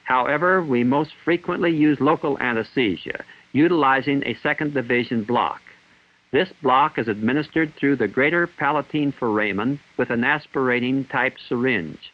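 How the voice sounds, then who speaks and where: A man reading scripted instructional narration in a formal, measured, clinical manner, in the style of a 1960s training film. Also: mid-range voice. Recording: dull 1960s film soundtrack.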